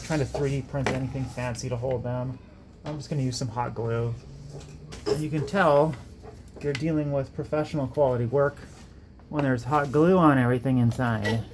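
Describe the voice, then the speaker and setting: A man narrates a hands-on electronics project in a casual, unscripted ramble. His mid-pitched voice has a lot of gravelly creak, and he tends to poke fun at his own work. There is faint noise behind him. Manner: rambling, self-deprecating. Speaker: electronics hobbyist host. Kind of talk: casual workbench build narration.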